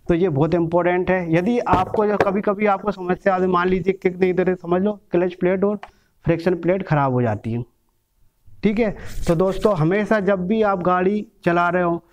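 Guy in his 30s walking thru engine parts on a table, kinda high voice, muffled lo-fi sound. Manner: explains step by step while handling engine parts, repeats "ठीक है" and "देखो". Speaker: bike mechanic trainer. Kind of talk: hands-on workshop lesson. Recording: dull lo-fi sound.

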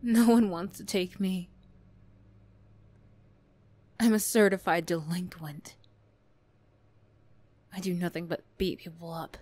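A woman playing a defensive teenage school bully girl who reluctantly opens up, prickly and halting, in an emotional ASMR roleplay. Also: mid-range voice, natural voice. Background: music.

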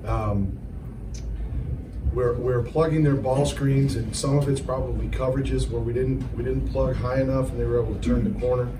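A middle-aged man, a head basketball coach, giving measured answers at a postgame press conference, often repeating words as he thinks. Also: medium pitch, fairly even intonation. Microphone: table mic, recorded from the audience. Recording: echoey room, far from mic, noisy.

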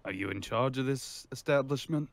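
A man playing a polite, pompous loan shark's son in an improvised game roleplay, halting and formal. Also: mid-range voice, no echo, close to an everyday voice.

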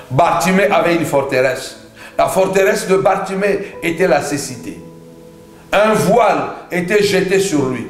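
A man preaching a fervent charismatic sermon in a declamatory way, hammering phrases through insistent repetition. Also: high-pitched. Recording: echoey room with background music.

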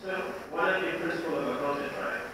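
A man's voice: fairly high pitch, quick pace. Man giving a speech in an echoey hall. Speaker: keynote speaker at a school career day. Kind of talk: prepared motivational speech to students. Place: large school auditorium hall, recorded from the audience seats. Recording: distant, very echoey hall with clear background noise.

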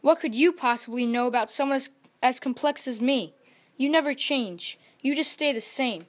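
Woman putting on a high-pitched, vain little male chameleon. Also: moderate pace, lightly acted. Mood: defensive, boastful. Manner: boastful, defensive. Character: vain, insecure, grouchy small male chameleon. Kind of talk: children's storybook character voice.

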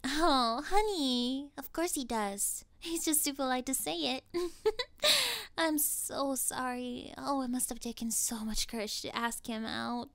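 High-pitched smug mean cheerleader girl played by a woman. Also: lively intonation, slow, a bit bright, dry recording, full character voice. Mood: smug, mocking. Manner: condescending, giggly, saccharine pet names. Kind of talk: scripted ASMR roleplay acting.

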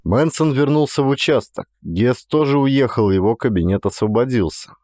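A man's voice: mid-range voice, lively intonation, moderate pace, a little mellow, slight background noise. A man reading a detective thriller as scripted audiobook narration.

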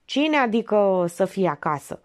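A woman's voice: medium pitch, big pitch swings, natural voice. A woman voicing an evasive, easily offended male bit-part actor who answers in curt, defensive replies.

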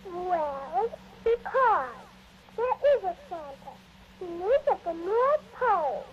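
A woman's voice: very high voice, very animated, slow, heavily acted. A woman playing a trusting little girl with a small, childlike voice in a 1940s radio drama. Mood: earnest, insistent. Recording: muffled, noisy 1940s radio recording.

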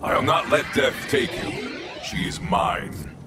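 A man playing a grim robot hunter in a video game, speaking formally and solemnly with a processed voice. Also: takes it slowly. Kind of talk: video game character acting. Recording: loud game music behind.

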